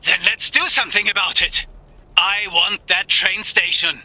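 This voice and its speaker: Forceful, ruthless army commander. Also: male voice, high-pitched, steady tone, unhurried, dry recording, full character voice, rough recording. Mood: determined, commanding. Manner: commanding, impassioned. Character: ruthless, vengeful German military commander of noble rank.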